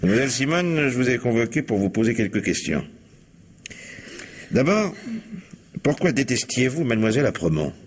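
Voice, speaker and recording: An actor playing a wily, authoritative police commissioner in a French radio mystery play, insistent and impatient, with colloquial probing. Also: male voice, medium pitch, big pitch swings, moderate pace, long pauses, breathy edge.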